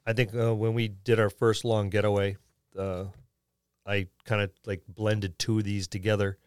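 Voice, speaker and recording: A man's voice: on the low side, level tone, moderate pace, clean tone. A man co-hosting a podcast in a casual, conversational discussion of tabletop gaming. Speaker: podcast co-host.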